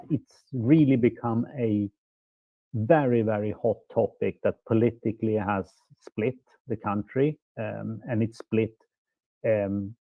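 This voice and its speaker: A man's voice: fairly low pitch, dry recording. A man who grew up in Sweden, asking long, courteous questions in a conference panel discussion. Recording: dry tinny phone-like line.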